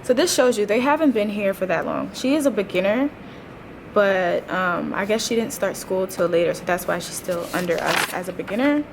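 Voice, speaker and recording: A woman's voice: medium pitch, animated, normal speed, creaky, background noise. A woman in her twenties, a teacher, chatting casually to a vlog audience in an informal, slangy way with wry asides. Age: 20s. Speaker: teacher and vlogger.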